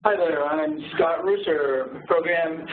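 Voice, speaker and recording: A man's voice: a little high, slow. A man presenting information as a program administrator. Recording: roomy, phone-like audio with faint noise.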